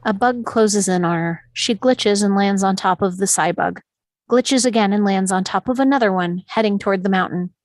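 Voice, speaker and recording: A woman's voice: mid-range voice, moderate pace, no echo, clean studio sound. A woman reading stage directions as scripted narration at a table read, in a dramatic storytelling manner.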